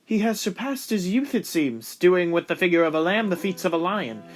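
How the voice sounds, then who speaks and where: A man as a high-voiced, gallant young lieutenant. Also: animated, moderate pace, faint music behind. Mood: reflective. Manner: formal, flowery. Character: courteous, devoted young lieutenant in love. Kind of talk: amateur period audio drama acting.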